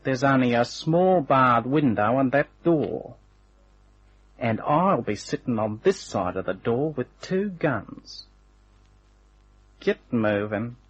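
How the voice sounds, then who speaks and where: Slow, taunting hired gunman, husky. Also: medium pitch, expressive. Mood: cold menace. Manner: unhurried, taunting, slangy. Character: menacing, smug hired gunman, a working-class thug. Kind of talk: old-time radio crime drama acting. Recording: dull, band-limited old radio recording.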